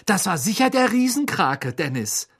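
A man voicing an eager, excited young schoolboy. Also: high-pitched, normal speed, creaky, slightly rough, pitched above the performer's own voice, heavily acted.

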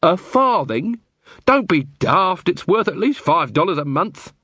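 A man's voice: high voice, big pitch swings, normal speed, sharp tone, slightly rough, no room sound, voice pushed up, heavily acted. A man voicing an irritable, scornful guard sergeant with a blunt, exasperated manner, in an audiobook fantasy story.

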